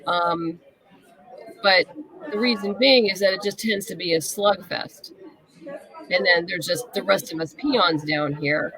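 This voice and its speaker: Creaky-voiced woman in casual conversation. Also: on the low side, a little slow, long pauses, crowd noise, poor audio. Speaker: interview guest, writer. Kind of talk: casual chat.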